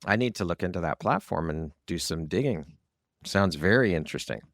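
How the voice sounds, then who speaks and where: Deep-voiced, gravelly male podcast host. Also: expressive, a little fast, fairly bright tone, no echo, clean studio sound. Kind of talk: interview hosting with polished outro.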